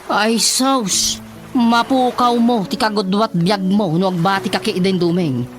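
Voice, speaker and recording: A man with an Ilocano accent playing a vain, excitable, bumbling councilman who stammers and repeats himself in a radio comedy. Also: sits high, measured pace, music in the background. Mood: boastful, teasing. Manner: stammering, flustered, repeating exclamations.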